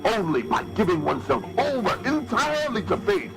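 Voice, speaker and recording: A fervent cult leader delivers a solemn sermon in an audio drama. His delivery is grandiose and devout, swinging widely in pitch over a music bed. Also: sing-song, a little fast. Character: fervent male cult leader preaching to faithful.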